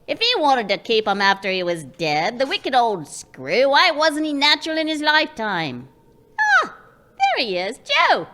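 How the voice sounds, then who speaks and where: Woman as brash grasping charwoman, bright tense voice, huge pitch swings. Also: huge pitch swings, measured pace, long pauses, full character voice. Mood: brash, scornful. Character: coarse greedy charwoman selling a dead man's things. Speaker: radio play actor. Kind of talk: live radio play acting.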